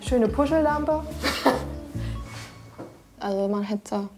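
A woman of twenty-nine talks in a chatty, self-mocking way, her voice sitting a touch high. Music plays quietly under her. The tone is casual and unscripted. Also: extremely slow. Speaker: reality documentary participant. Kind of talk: casual chat. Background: background music.

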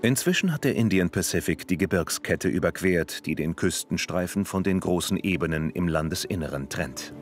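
Deep-voiced male documentary narrator. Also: lively intonation, moderate pace, hardly any pauses. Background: music.

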